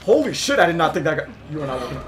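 A young man with a hoarse, worn voice pitched a little high, jumping between low mutters and sudden shouts as he plays. He swears freely. Game sounds run clearly underneath him. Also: big pitch swings, rapid-fire, background noise. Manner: swings from muttering to yelling, swears a lot. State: hoarse, losing his voice. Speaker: gaming YouTuber. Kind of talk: excited live gameplay commentary.

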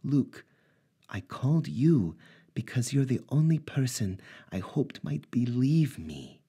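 A man voicing a distraught young woman, his character's ex-wife, speaking in a soft, pleading way, raised well above his own voice. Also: medium pitch, sing-song, slow, husky, pitched above the performer's own voice, heavily acted.

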